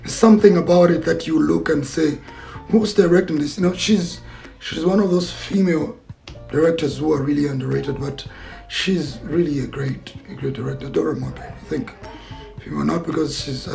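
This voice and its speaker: A man's voice: fairly high pitch, brisk, vocal fry, darkish tone, faint music behind, rough recording. A man answering interview questions as an actor and filmmaker, reflective and searching for his words. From Zambia.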